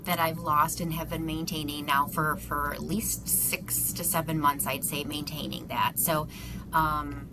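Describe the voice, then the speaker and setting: A woman of fifty from Buffalo, speaking in a low voice as a podcast guest. She is friendly and modest and fills her pauses with ums, while noise runs steadily behind her. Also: flat delivery. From Buffalo, New York. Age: 50s. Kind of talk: casual interview chat.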